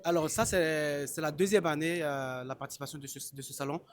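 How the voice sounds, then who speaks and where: A man from Côte d'Ivoire talks through his answers slowly, stopping and restarting as he goes. His voice sits a little high for a man. He speaks at a busy trade fair. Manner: hesitant, restarts often, laughs easily. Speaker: exporter, interview guest. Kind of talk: casual spoken interview.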